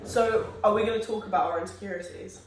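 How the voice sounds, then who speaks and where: A young woman with a deep voice, co-hosting a casual podcast chat, frank and quick to laugh. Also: fairly even intonation, noisy background. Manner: frank, laughs often, chatty. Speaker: podcast co-host. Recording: echoey room, far from the mic.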